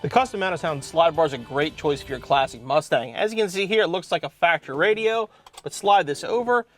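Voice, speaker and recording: A man's voice: a little high, a little fast, fairly bright tone, soft background music. A man hosting an instructional car installation demonstration, explaining step by step in plain-spoken language. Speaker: host of car installation how-to videos.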